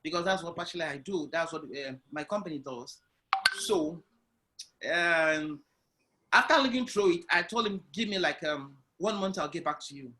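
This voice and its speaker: A man telling his business story on a video call interview, with a loud, animated way of speaking. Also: on the high side, moderate pace, slight background noise, rough recording. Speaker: guest entrepreneur. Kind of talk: online interview, personal story.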